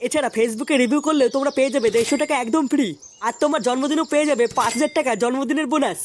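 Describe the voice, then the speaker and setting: A woman's voice: sits high, rapid-fire, a little hiss or hum. A woman promoter delivering a scripted sponsor advertisement.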